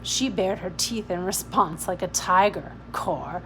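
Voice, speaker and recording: A woman narrating a novel aloud in an expressive storybook reading style. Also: fairly low pitch, unhurried, a little hiss or hum.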